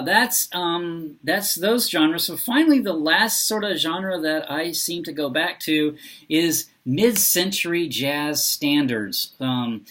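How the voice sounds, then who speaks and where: A man talking casually and unscripted as a vlogger, halting often as he searches for his words. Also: on the high side, fairly bright tone. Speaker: book and reading vlogger. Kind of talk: casual unscripted vlog talk.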